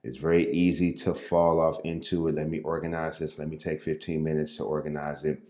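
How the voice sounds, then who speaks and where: A man from St. Louis, a real estate coach, giving an impromptu talk to camera while standing at his call desk, with a naturally low, somewhat monotone voice and a loose, conversational delivery. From Midwest US, St. Louis. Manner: unhurried, loose and conversational, with repeated words and fillers. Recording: echoey room.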